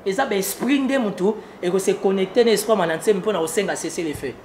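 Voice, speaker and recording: A man with a Congolese accent speaks in a high-pitched voice over faint ambient noise. He comments on politics as an interview guest, driving points home emphatically and repeating his phrases. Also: quick pace, darkish tone. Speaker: political analyst being interviewed.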